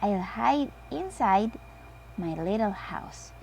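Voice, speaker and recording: A woman voicing an excitable, playful little girl pig from a children's storybook, in an eager manner. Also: mid-range voice, slow, vocal fry, slight background noise, rough recording.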